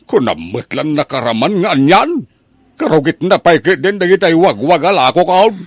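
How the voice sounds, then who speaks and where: A man's voice: fairly high pitch, very animated, moderate pace, no room sound. A man with an Ilocano accent acting a plain-spoken, grumbling father in a comedic audio drama. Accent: Filipino, Ilocano.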